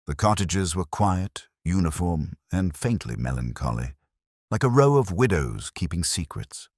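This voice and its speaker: A man's voice: low-pitched, very animated, measured pace, a little mellow, breathy edge, no room sound, studio quality. A man narrating a scripted mystery story as an audiobook reader.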